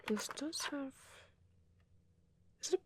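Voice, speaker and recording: A woman voicing a shy vampire boy who rambles gently in an ASMR roleplay, soft-spoken and hesitant, slipping into breathy whispers. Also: slow, heavy background noise, close to an everyday voice. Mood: curious, gentle.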